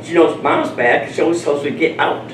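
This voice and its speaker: Audience man from Chicago, high-pitched, blunt and rambling, heard from afar in a roomy hall. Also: little pitch movement, fast talker. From Chicago, Illinois. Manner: blunt, rambling, self-mocking. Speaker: audience member. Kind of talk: open-floor comment. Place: event room at a community panel. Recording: roomy, distant, faint noise.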